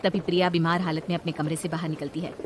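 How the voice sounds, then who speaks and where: Woman narrating a story over soft music. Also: deep voice, little pitch movement, quick pace. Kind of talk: scripted fairy tale narration.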